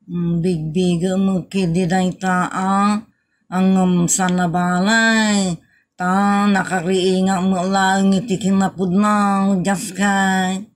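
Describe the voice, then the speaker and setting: A woman playing a hardworking, plain-spoken tricycle driver in a comedy audio drama, matter-of-fact and unhurried. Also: medium pitch, little pitch movement, natural voice. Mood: reproving.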